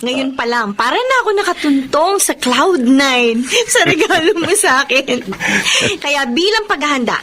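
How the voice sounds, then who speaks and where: A woman's voice: high voice, expressive, moderate pace, fairly bright tone, slight background noise. A woman playing a giddy, grateful young college student and little sister in a Filipino radio drama. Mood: delighted, playful.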